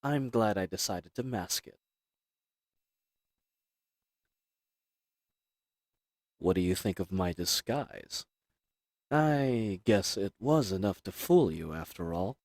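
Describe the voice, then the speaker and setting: Man as a smooth-talking, unhurried, confident assassin. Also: sits low, animated, darkish tone, no room sound, studio quality, voice pushed down. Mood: menacing, smug. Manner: smug, teasing, measured. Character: cool, confident, flirtatious assassin in love. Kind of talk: scripted roleplay audio drama.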